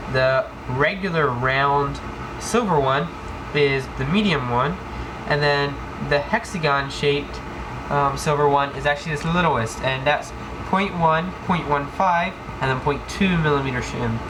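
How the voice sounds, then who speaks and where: A man's voice: on the high side, a little slow. Man with a cold explaining gear work. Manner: rambling, many ums and restarts. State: has a cold. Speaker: airsoft gearbox tech demonstrator. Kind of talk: casual hands-on tutorial narration. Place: indoor room, work on floor. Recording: noisy home video with clicks.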